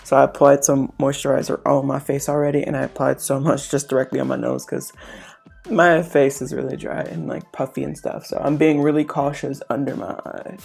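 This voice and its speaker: A woman's voice: rumbling low, fairly quick, gravelly, breathy edge, soft background music. A young woman with a stuffed-up, congested voice, chatting casually through a makeup tutorial at home. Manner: chatty, relaxed, lots of fillers. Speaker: beauty vlogger.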